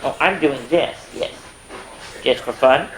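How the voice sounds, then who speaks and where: An older woman hosting a story time, reading picture books aloud to children with playful, animated asides. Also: rumbling low, a little slow, a little hiss or hum, rough recording. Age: older, grandmother. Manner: playful, animated, chatting in asides to young listeners.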